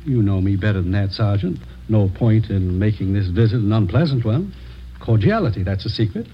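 A man's voice: fairly low pitch, lively intonation, fairly quick, slightly rough. An actor playing a polite veteran con man in a mid-century radio crime drama, ingratiating and unruffled. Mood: genial, ingratiating. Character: smooth, courteous veteran con man, falsely genial. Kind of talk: 1940s-50s radio crime drama acting. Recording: dull, noisy old radio recording.

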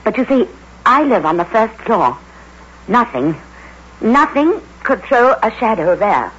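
A woman's voice: on the high side, big pitch swings, a little slow, fairly bright tone. A woman acting an anxious, haunted wife in an old radio drama, hesitant and plaintive in her delivery. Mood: uneasy. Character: anxious, haunted wife, evasive then quietly accusing. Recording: dull old radio recording, faint noise.

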